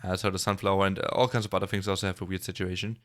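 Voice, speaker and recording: A man's voice: sits low, level tone, rapid-fire, a bit bright. A man giving a casual reaction commentary on a music video, rambling and hedging as he points things out. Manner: rambling, observational, hedging with "kinda" and "like". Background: faint music from the video.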